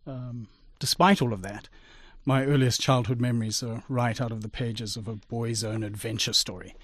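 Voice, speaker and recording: A man's voice: medium pitch, steady tone, moderate pace, fairly bright tone, no echo. Articulate Zimbabwe-raised man, dry close mic. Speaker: guest. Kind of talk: radio interview storytelling.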